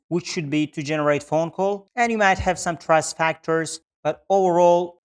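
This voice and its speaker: A man's voice: fairly high pitch, clear, resonant tone. A man explaining things step by step as an instructor, in a methodical screen-share tutorial style with repeated phrasing. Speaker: instructor, Google Ads specialist.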